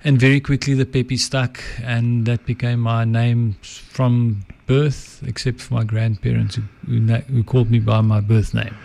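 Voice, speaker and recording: A middle-aged man from South Africa speaks with an Afrikaans accent and a gravelly, fry-tinged voice. He talks in a measured, reflective way, often letting his sentences drop away quietly. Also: medium pitch, level tone. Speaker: guest, advertising entrepreneur and author. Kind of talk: reflective interview talk.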